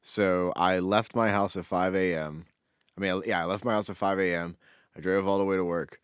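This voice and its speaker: A man's voice: sits low, fairly even intonation, brisk, clear, resonant tone, no echo, clean studio sound. A man co-hosting a podcast, telling anecdotes in an informal, conversational way. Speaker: podcast co-host. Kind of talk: casual chat, anecdotal storytelling.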